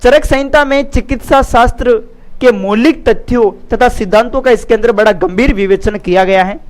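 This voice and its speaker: A man's voice: very high-pitched, moderate pace. A man teaching students in an exam-prep lecture, repeating key phrases and adding check-in tags in a didactic way.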